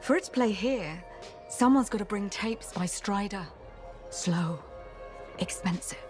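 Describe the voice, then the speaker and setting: An actress playing a breezy, shady shopkeeper in a video game, with a sly, dry, teasing manner. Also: female voice, mid-range voice, a little slow, lightly acted. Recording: music behind the voice.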